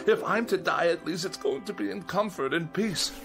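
An actor playing a fussy, irritable middle-aged hypochondriac husband in a radio drama, pompous and sarcastic. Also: male voice, high-pitched, normal speed, gravelly, over music. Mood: outraged, self-pitying.

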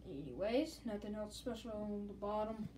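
A woman hosting an informal show-and-tell vlog, talking haltingly into a handheld device in a small room at home. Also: medium pitch. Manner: informal, halting, repeats stock phrases. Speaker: host of a gadget collection video channel. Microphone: handheld phone or camera, held close. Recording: noisy handheld home recording with clicks.

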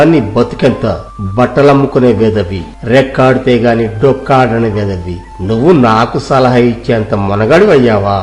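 A man's voice: medium pitch, darkish tone, natural voice. A man voicing an arrogant, scornful rich village landlord in a story, haughty and contemptuous in his manner. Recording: roomy echo, music behind.

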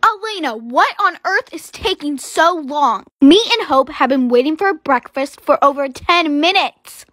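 A woman acting a bossy, high-voiced stepmother. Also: expressive, unhurried, few pauses, fairly bright tone, no room sound, clean studio sound. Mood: scolding. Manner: stern, demanding.

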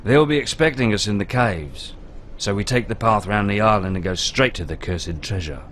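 An actor playing a roguish, wry pirate captain in a video game, with a sardonic, theatrical delivery. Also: male voice, on the low side, moderate pace, a bit bright, a little hiss or hum, close to an everyday voice. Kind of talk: scripted video game cutscene acting.

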